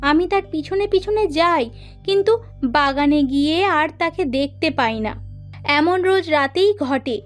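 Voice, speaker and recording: A woman's voice: high-pitched, animated, a little slow, faint music behind. A woman playing an anxious middle-aged village mother of a grown son, speaking earnestly and dramatically in a horror cartoon. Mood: fearful, confiding.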